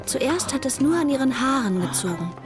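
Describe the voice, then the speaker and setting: A naive, devoted young child narrates a radio drama in a fairly high voice. The telling is plain and earnest, stating things matter-of-factly. Soft music sits behind it. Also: female voice, very animated, moderate pace.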